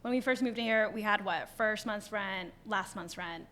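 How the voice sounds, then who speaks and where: A woman's voice: mid-range voice, normal speed, pushed and bright. A young woman from Portland, Maine, a student guest on a podcast, chatting casually with fillers. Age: young adult.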